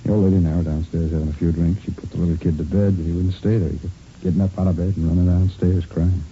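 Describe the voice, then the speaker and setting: An actor playing a slick, irritable American boyfriend under police questioning, defensive and dismissive, in old radio drama style. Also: male voice, flat delivery, quick pace, mellow, slight background noise, close to an everyday voice. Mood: callous, defensive. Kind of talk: 1950s radio drama acting. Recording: dull, rumbling vintage radio recording.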